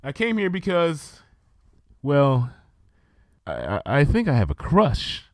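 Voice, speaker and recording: A man's voice: a little high, huge pitch swings, slow pace, no room sound, clean studio sound, voice pushed up, exaggerated voice. Guy doing a bashful earnest girl unicorn, voice pushed up a bit, kinda awkward, storybook reading. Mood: sheepish. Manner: hesitant, awkward. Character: shy, earnest, easily embarrassed young female unicorn.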